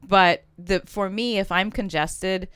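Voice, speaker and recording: Female co-host, smooth voice, dry sound, jokey. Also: mid-range voice, steady tone, slow pace, a bit bright, no echo. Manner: wry, jokey asides. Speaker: podcast co-host. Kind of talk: casual chat.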